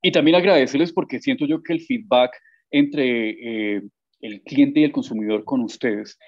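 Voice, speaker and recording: A man in his thirties hosts an interview from a small room at home. His questions run long and wander through fillers. His pitch is middle for a man and the webcam audio sounds a little dull. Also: moderate pace. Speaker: interviewer host. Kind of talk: casual interview on a video call. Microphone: webcam with earphones.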